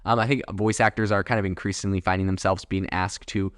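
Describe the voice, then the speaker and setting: A man's voice: low voice, level tone, quick pace, a bit bright, dry recording, clean studio sound. A young man hosting a solo podcast, chatting casually in a rambling way with many fillers and asides. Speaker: podcast host.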